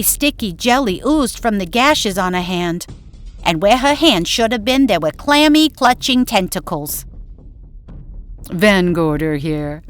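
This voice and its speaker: A woman giving dramatic scripted horror narration in an old-time radio style, theatrically savoring the descriptive words. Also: a little high.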